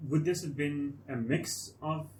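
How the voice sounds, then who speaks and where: Man, curious guest, roomy sound, clear noise. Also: on the high side, a little slow. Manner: curious, asks questions. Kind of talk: casual chat.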